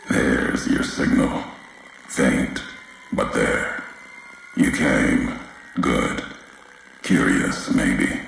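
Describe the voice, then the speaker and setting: An actor playing a brooding, vengeful wasteland wanderer obsessed with history in a video game, speaking in cryptic, clipped phrases with weighty pauses. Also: male voice, a bit bright, heavily acted. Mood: solemn, probing. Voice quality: very deep, gravelly. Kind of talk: slow brooding game dialogue monologue. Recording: heavily echoing voice, faint background noise.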